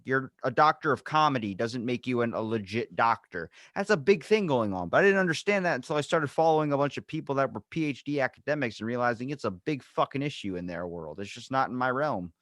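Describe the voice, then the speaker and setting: Male podcast host, mid-range voice, blunt animated delivery, dry close mic. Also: medium pitch, no echo. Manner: blunt, swears freely, animated asides. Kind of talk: casual interview chat.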